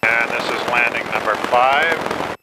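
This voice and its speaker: A male pilot with a medium-pitched voice gives radio calls and flight commentary. His phrasing is clipped and procedural. Very loud engine noise fills the small plane's cockpit around him. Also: takes it slowly. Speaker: private pilot.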